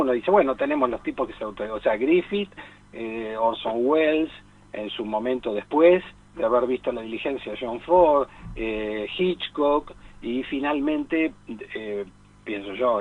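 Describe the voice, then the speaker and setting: A man's voice: on the low side, breathy edge. A man talking casually as a film expert on a radio programme, digressing and repeating phrases for emphasis. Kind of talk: casual radio discussion of cinema. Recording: tinny phone-like radio line.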